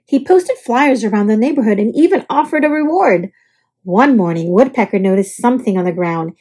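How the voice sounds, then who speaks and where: A woman reading a children's picture book aloud in an expressive, scripted storytelling style. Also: a little high, brisk, slightly rough. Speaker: storybook reader.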